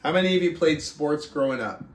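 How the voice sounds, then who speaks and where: A man with a voice a bit higher than most hosts a book club podcast. He speaks in a deliberate way, leaving pauses and stretching words for emphasis. The recording is noticeably echoey, with the room clearly audible around his voice. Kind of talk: casual podcast talk and reading a book aloud. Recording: roomy, echoing sound.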